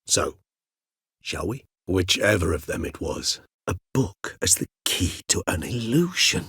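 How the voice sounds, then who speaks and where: A courteous old vampire scholar for a video game, in a lowish, slightly husky voice recorded close and dry. He speaks formally and with measured eloquence, philosophical and wry, fond of long, precise phrasing. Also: big pitch swings, bright, forceful tone, no echo, heavily acted. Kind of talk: video game character dialogue.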